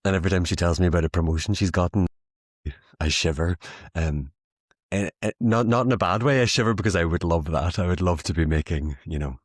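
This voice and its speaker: Deep-voiced chatty young Irish man. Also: fairly quick, no room sound, studio quality. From Ireland. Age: 20s. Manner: chatty, self-mocking, laughs often. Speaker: theatre maker guest. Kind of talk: casual interview chat.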